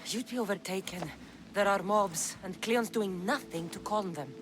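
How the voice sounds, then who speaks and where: A woman's voice: medium pitch, normal speed, close to an everyday voice. A woman playing a tough, blunt young Greek mercenary in a video game, with a frank, matter-of-fact delivery. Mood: concerned. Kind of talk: video game cutscene acting.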